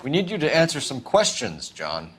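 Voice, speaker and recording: Casting: clipped, authoritative American detective, medium pitch, very varied intonation, game drama. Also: male voice, wildly swooping pitch, a bit bright, breathy edge, full character voice. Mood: stern. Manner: formal, interrogating, brisk. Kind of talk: video game dramatic acting.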